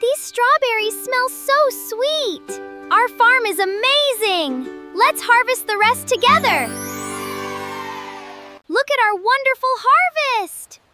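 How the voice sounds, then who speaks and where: A performer voicing a cheerful, excitable little cartoon kid with a very high childlike voice, exclamatory and encouraging. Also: slow pace, full character voice. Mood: delighted. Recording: children's music behind the voice.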